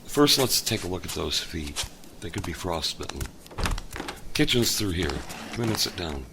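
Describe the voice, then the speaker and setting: Man as a low-voiced neighborly driver, steady narration, loud hiss behind. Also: moderate pace, vocal fry, heavily acted. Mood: kindly. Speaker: narrator and lead character, a delivery driver. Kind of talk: amateur audio drama narration.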